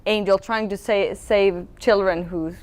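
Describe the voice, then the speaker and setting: A young adult woman from Finland, whose first language is Swedish, chats as a guest in an interview. She laughs easily and often stops to restart her words. Her talk stays light and lively. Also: medium pitch. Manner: chatty, giggly, quick restarts. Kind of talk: casual interview chat.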